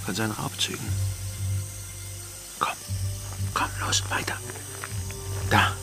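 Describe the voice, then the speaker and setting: An actor playing a bold, wry male pirate with a gravelly voice in a German radio play. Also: slow pace, whispered, lightly acted. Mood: hushed, conspiratorial. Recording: music behind the voice.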